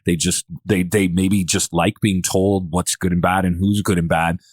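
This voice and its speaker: A man's voice: sits low, little pitch movement, normal speed, no room sound, studio quality. A man hosting a podcast in casual discussion, talking in a hesitant, rambling way and often circling back to rephrase. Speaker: podcast host.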